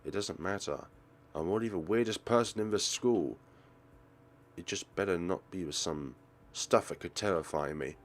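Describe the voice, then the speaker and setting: A man's voice: low-pitched, normal speed, pitched below the performer's own voice. A man voicing a guarded, troubled teenage schoolgirl in a visual novel, hesitant and wary but hopeful.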